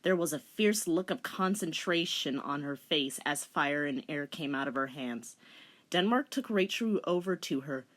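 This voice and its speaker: A woman reads a story aloud with theatrical flair and wide swings in pitch. She drops into dry, sarcastic asides as she comments on it. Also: expressive, normal speed, a bit bright. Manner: sarcastic, mocking. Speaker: commentator and dramatic reader. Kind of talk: dramatic reading with wry asides.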